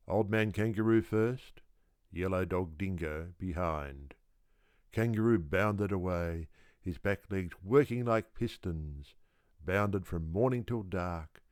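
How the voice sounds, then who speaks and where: A man reading a children's story aloud as an audiobook narrator, with deliberate, rhythmic, theatrical phrasing. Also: low voice, no room sound, clean studio sound. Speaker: audiobook reader.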